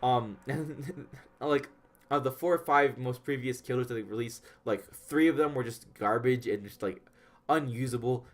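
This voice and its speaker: A congested young man with a nasal voice, casually rambling and swearing as he reads game patch notes aloud. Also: mid-range voice. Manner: rambling, profane, frequent fillers and restarts. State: congested, under the weather. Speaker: gaming YouTuber. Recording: faint background music.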